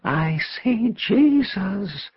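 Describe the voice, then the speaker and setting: A man's voice: on the low side, sing-song, measured pace, no room sound, lo-fi sound, pitched above the performer's own voice, heavily acted. A man voicing a robust, devout woman in her forties, ecstatic and prophetic, in an audiobook. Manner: ecstatic, breathy, prayerful proclaiming. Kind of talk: audiobook character voice.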